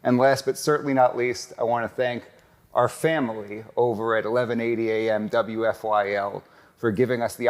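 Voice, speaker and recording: Upbeat male podcast host. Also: medium pitch. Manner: upbeat, promotional. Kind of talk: casual interview chat. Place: cigar lounge.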